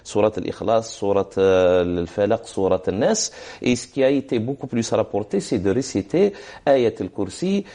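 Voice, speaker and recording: A man's voice: on the low side, animated, normal speed, mellow. A man giving religious advice on a TV program, speaking hesitantly with many fillers and reciting Arabic formulas. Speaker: religious scholar answering questions. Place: TV studio.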